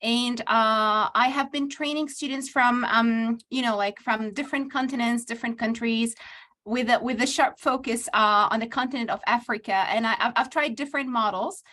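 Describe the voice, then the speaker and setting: A woman's voice: on the high side, normal speed, a bit bright. Woman explaining over a call. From Tunisia. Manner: many fillers and restarts, earnest and explanatory. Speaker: university professor and AI trainer. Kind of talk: spontaneous explanation in a virtual panel.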